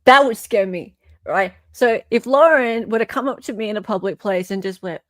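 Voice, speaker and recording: A woman hosting a live stream, chatting and reacting in an expressive, emphatic way. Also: medium pitch, normal speed, no room sound. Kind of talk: casual chat and reaction.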